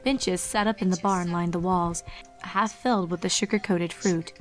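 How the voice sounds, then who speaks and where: A woman's voice: on the low side, steady tone, moderate pace. A woman reading a scripted story as a dramatic narrator. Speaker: fan fiction narrator. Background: music under the reading.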